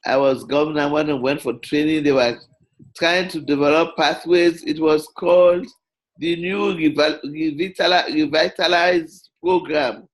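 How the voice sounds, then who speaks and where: An older woman chatting warmly on a video call, encouraging and affirming, with frequent agreement. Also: low-pitched, steady tone, unhurried, low-quality recording. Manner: encouraging, affirming, many backchannels. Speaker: Toastmasters region advisor. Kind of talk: casual video call chat.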